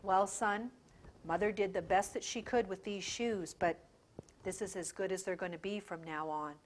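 A woman doing a patient, gentle father. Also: medium pitch, fairly even intonation, normal speed, a little hiss or hum, voice pushed down, natural voice. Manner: patient, instructive. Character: calm, gentle father giving a lesson.

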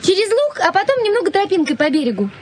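A woman's voice: very high-pitched, big pitch swings, quick pace. An actress playing a playful, dreamy young fiancée in a Soviet radio play, with a girlish manner. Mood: playful, coaxing. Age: young adult. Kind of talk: Soviet radio drama acting. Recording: noisy old radio-play recording with birdsong.

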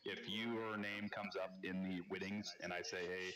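A man in his thirties with a very low voice hosts a casual community call. He explains the rules patiently and slips in jokes. Several other voices chatter behind him. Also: level tone, moderate pace. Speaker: community admin hosting a voice chat.

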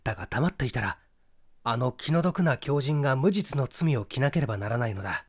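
A man reads as an assured young amateur detective in a mystery audiobook. The voice sits at medium pitch, explaining deliberately and with emphasis. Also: lively intonation, husky. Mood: grave.

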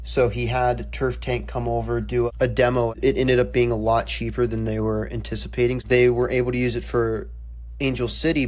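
A young man explaining things as a student reporter in an informal, conversational interview, phrasing his answers loosely. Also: medium pitch. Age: young adult.

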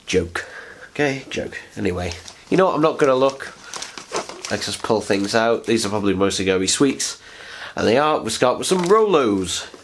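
A man's voice: on the low side, animated, normal speed. A young man with a head cold, his voice stuffy and nasal as he rambles through a late-night unboxing. He sits close to the camera in a living room, chatty and self-mocking, and a faint hiss sits under the voice. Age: young adult. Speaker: vlogger. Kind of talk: casual unboxing chat. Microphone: camera on a tripod, sitting close.

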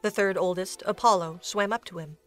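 A woman's voice: medium pitch, brisk, faint music behind. A woman reading scripted storybook narration for an audio drama.

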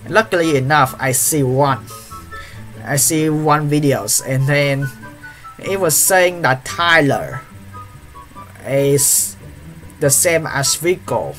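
A man's voice: medium pitch, a bit bright. A young man whose first language is Vietnamese, telling a story on his vlog with lots of fillers and restarts, recorded on a webcam in a small room. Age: young adult. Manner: hesitant, many fillers and restarts. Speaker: YouTuber.

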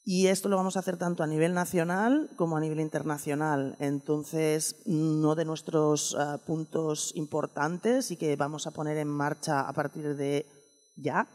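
A woman's voice: deep voice, fairly even intonation, moderate pace, a little mellow. A woman with a Spanish accent giving a prepared talk at a conference panel, earnest, with repeated words. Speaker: panel speaker. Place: conference hall stage. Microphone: table microphone. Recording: echoey conference hall.

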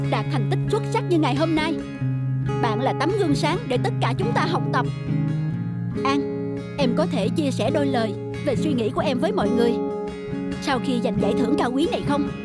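Encouraging cartoon schoolteacher. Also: female voice, sits high, lively intonation, normal speed. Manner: formal, encouraging. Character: encouraging male schoolteacher, formal and kindly. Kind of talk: cartoon moral-tale dubbing. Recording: loud guitar music behind.

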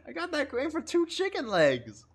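A woman's voice: high voice, huge pitch swings, moderate pace. A woman streamer reacting casually to a video game in slangy chat. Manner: slangy, giggly. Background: game music.

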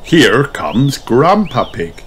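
A man reading a children's storybook aloud as narrator, in an expressive, deliberate, child-directed style. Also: medium pitch, noisy background. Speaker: children's book reader. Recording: music behind the voice. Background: music.

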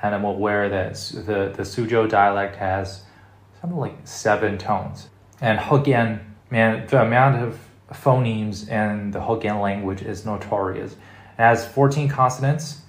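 A man in his thirties whose first language is Mandarin, talking casually to camera about languages at home, thinking aloud with restarts. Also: fairly low pitch. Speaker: language vlogger. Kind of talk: casual explainer talk to camera. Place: living room at home, indoors. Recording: echoey room.

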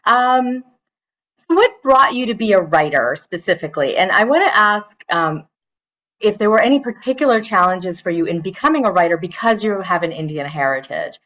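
A middle-aged woman moderating an author panel over a video call, friendly and quick to laugh. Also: mid-range voice, animated, a bit bright, poor audio.

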